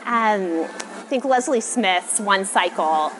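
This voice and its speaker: Lively-pitched American woman outdoors, noisy background. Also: takes it slowly. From Texas, United States. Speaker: interviewee, machine learning researcher. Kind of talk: casual rapid-question interview. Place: outdoor covered city walkway. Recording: outdoor city ambience noise.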